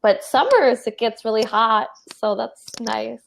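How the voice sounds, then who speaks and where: A young woman from Minnesota, an English teacher, chatting in a relaxed, agreeable way on a video call. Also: fairly high pitch, measured pace, vocal fry, slight background noise. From Minnesota, USA. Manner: relaxed, agreeable, short replies. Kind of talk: casual online lesson chat.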